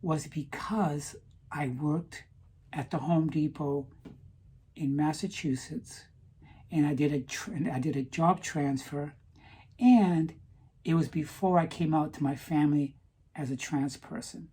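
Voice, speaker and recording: Woman, vlogger, low dark tone, slow, earnest, halting. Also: husky. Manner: earnest, repeats "okay?" for emphasis. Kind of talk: casual personal vlog.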